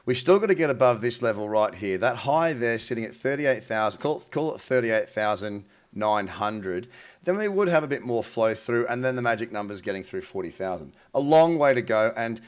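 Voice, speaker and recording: A man's voice: mid-range voice, lively intonation, fairly quick. A man with a slightly nasal, head-cold voice, giving informal, matey market commentary as the host of a trading show. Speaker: host, crypto trading analyst. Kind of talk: casual market commentary to camera.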